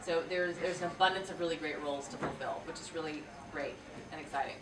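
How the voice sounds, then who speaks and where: A woman's voice: deep voice, fast. A woman voice actress talking casually on a convention panel, chatty and full of restarts. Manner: chatty, with frequent restarts and fillers. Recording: echoey hall, distant mic, noisy.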